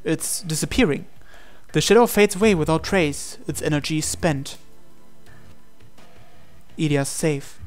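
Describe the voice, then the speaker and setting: A man's voice: on the high side, a little slow, pauses often, soft background music. A man reading a visual novel aloud as a game player, in dramatic storytelling narration.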